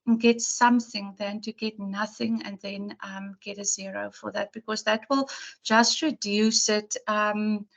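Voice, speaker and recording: A woman lecturer with a hoarse, croaky voice, talking to her class in a chatty, rambling and apologetic way on a video call. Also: mid-range voice, steady tone, unhurried, poor audio. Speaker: university lecturer.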